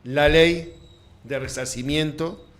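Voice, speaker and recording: Slightly high-voiced man hosting. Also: slow, fairly bright tone, roomy sound, slight background noise. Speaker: host. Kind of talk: conversational political interview.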